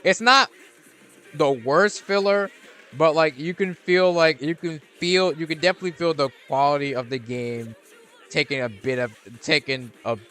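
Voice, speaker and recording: A man's voice: a little high, moderate pace, bright, forceful tone, soft background music. A man hosting a gaming channel, rambling through casual gameplay commentary with lots of restarts and fillers. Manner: rambling, many restarts and fillers, laid-back.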